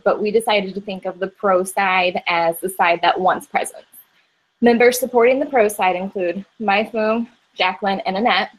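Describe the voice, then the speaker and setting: A young woman from Indiana moderating an informal debate, reading a prepared introduction in a steady, organized way. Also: medium pitch, poor audio. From Indiana, USA.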